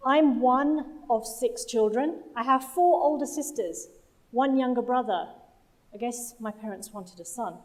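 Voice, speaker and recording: Higher-voiced woman giving a talk. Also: unhurried, a little mellow, poor audio. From London, UK. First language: Punjabi. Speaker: conference speaker, project manager. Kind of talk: conference presentation. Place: conference hall stage.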